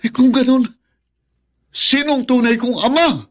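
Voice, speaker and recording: An actor playing a bitter, proud, wounded young adult son in a Filipino radio drama, curt and accusing. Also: male voice, very high-pitched, unhurried. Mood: agitated.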